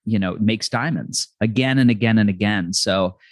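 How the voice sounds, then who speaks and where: A man's voice: on the low side, normal speed, no room sound. Earnest reflective man hosting a podcast. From New York City. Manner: earnest, reflective, talks in long run-on thoughts. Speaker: podcast host. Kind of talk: casual interview chat.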